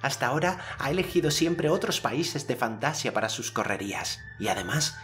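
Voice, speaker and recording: A young boy hero with a solemn, formal manner of speech, as a male narrator puts him on. He speaks at a middle pitch, well above the narrator's own deep voice, over faint music. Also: expressive, brisk, a bit bright, close to an everyday voice. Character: earnest boy hero, commanding storyteller.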